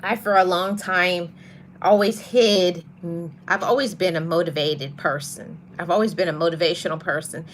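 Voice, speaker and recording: A woman hosting a motivational podcast, talking to her audience in a soft voice and repeating her key phrases for emphasis. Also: on the low side, fairly even intonation, normal speed, slight background noise. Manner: greets live viewers mid-talk. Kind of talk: live-streamed motivational talk.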